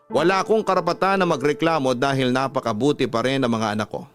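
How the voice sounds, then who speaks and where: A man's voice: mid-range voice, no room sound, natural voice. A man voicing a humble hardworking father who tells his life story in earnest, reflective dramatic narration. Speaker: narrator of a radio drama letter. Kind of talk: dramatic first-person scripted narration.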